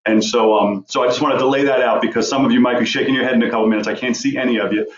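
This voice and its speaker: A man from Long Island with a faint New York accent, giving a fast, informal webinar presentation over a video call. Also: medium pitch, fairly even intonation. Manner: fast-talking, informal and direct. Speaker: guest speaker. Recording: echoey, dull video call audio.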